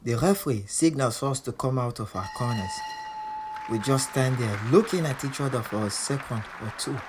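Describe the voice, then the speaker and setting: A man's voice: medium pitch, animated, normal speed, background noise, lightly acted. An actor playing a proud, combative male boxer, narrating his fight in punchy present-tense phrases for an audio drama. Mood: intense. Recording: loud background ambience.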